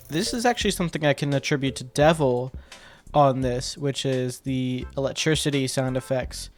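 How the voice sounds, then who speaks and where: A man talks informally through his editing work in a mid-range voice. He explains it step by step, like a screen-recorded breakdown. Music and sound effects play faintly underneath him. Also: medium pitch. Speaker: video editor and sound designer presenting his own work. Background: music tracks and sound effects being demonstrated.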